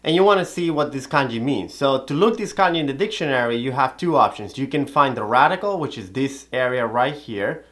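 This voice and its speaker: A man's voice: medium pitch, a little fast, few pauses. Man teaching calligraphy. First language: Spanish. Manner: explanatory, steady, methodical. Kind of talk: instructional video talk.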